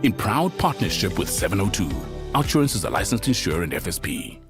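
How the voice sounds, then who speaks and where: A man's voice: on the low side, very animated, a little fast, music in the background. A man narrates a scripted radio advertisement in a storytelling style.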